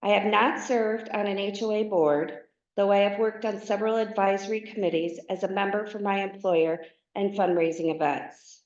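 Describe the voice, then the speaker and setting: A woman in her fifties speaks softly and haltingly from a podium. Her voice carries through a large, echoing hall. The recording has a dull, muffled sound. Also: mid-range voice, steady tone. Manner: soft-spoken, halting with fillers. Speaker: board candidate. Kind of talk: opening statement at a forum. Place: meeting hall. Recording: roomy, dull meeting recording.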